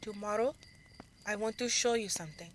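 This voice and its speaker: A woman's voice: medium pitch, a little fast, fairly bright tone, close to an everyday voice. A woman voicing a fiery, bossy elder sister who dances, with a commanding, insistent delivery in an audio drama. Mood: reassuring.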